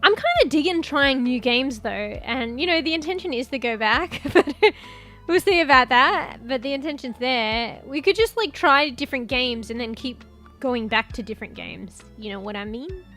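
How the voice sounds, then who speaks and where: A woman's voice: high voice, expressive, moderate pace, a bit bright. Rambling woman streamer, music underneath. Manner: rambling, filler-heavy, swears casually. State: losing her voice, coughing. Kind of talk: casual chat with stream viewers.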